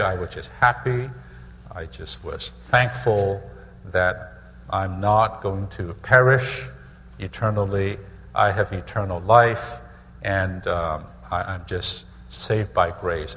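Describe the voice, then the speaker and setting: Middle-aged male pastor, deliberate sermon delivery with repeated phrases, long hall reverb. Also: low-pitched, a bit bright. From Hong Kong. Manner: measured, repeats phrases for emphasis. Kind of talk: sermon testimony.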